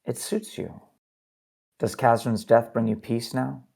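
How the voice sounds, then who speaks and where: A man acting in an audio drama as a teasing, perceptive husband, with a gravelly, husky voice. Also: medium pitch, steady tone, unhurried, a little mellow. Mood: intimate, searching.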